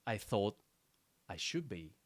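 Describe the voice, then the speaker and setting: A man, chatting casually on a podcast in halting, restarting English. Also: fairly low pitch, very animated, breathy edge, no echo, clean studio sound. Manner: halting, restarts often, non-native phrasing. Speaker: podcast co-host. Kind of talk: casual chat.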